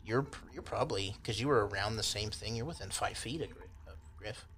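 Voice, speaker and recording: A man's voice: on the low side, brisk, a bit bright, slight background noise. A man acting as game master, casually improvising narration and explaining rulings in an easygoing, conversational way. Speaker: game master of a tabletop role-playing podcast.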